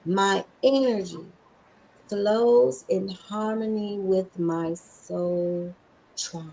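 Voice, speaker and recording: Scratchy voiced woman podcast host, gets excited, repeats stuff slow. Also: mid-range voice, gravelly. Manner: excitable, emphatic, repeats lines slowly. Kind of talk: affirmation podcast talk.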